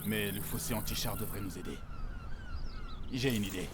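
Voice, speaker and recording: A man's voice: fairly low pitch, moderate pace, very noisy, lightly acted. A man playing a grave, determined soldier leading a desperate mission in a war video game. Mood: focused, planning. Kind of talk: cinematic war game dialogue. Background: music.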